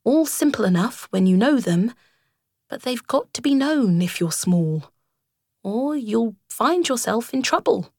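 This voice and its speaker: Woman voicing a storybook water rat, brave and cheerful, reassuring, lively high voice, unhurried. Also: no echo, clean studio sound. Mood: soothing, lecturing. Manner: lively and reassuring, animated swoops in pitch.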